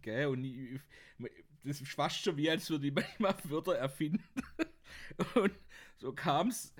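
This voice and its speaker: A young man telling rambling anecdotes casually, often laughing at his own stories. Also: mid-range voice. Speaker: podcast host.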